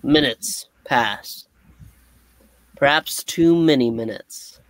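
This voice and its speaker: A man's voice: medium pitch, slow pace, fairly bright tone, no room sound, low-quality recording. A man narrating a comic book aloud as a dramatic live reading, with casual asides. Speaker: narrator and reader.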